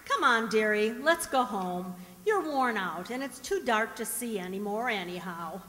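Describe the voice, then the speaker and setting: A woman playing a patient, gently teasing wife in an old-time radio comedy, genteel and affectionate. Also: medium pitch, lively intonation, measured pace, noisy background, lightly acted. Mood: soothing. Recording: reverberant community stage hall.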